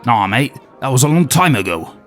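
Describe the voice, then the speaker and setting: A man's voice: mid-range voice, very animated, a little fast, fairly bright tone, faint music behind. A man playing an aging former nature documentary host with a wry, world-weary, conspiratorial manner. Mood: sly. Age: older.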